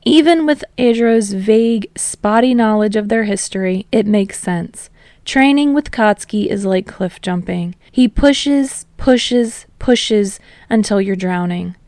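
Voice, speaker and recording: Woman narrating a story, creaky and dry. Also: medium pitch, measured pace, a little mellow, dry recording. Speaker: fan fiction narrator.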